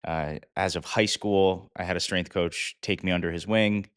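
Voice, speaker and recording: A man's voice: low-pitched, steady tone, moderate pace, smooth, dry recording, clean studio sound. A man in his thirties from Connecticut, a fitness coach telling his story in an earnest, casual way with frequent fillers. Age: 30s. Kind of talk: casual interview.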